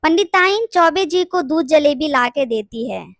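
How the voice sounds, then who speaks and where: Female narrator, extremely high pitch, scripted bedtime storytelling, dry studio-like sound. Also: animated, unhurried, no echo.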